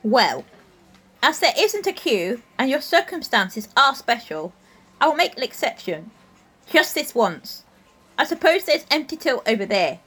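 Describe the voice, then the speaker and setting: An actress playing a formal, firm supermarket worker enforcing the rules. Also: female voice, sits high, big pitch swings, a little slow, sharp tone, breathy edge, heavily acted. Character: prim officious female supermarket checkout worker.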